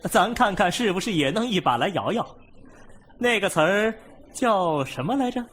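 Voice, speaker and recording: High, sarcastic wedding guest. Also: male voice, animated, normal speed, a bit bright, a little hiss or hum. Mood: mocking. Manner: sarcastic, needling. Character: mocking, falsely humble male wedding guest. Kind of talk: Chinese costume audio drama acting.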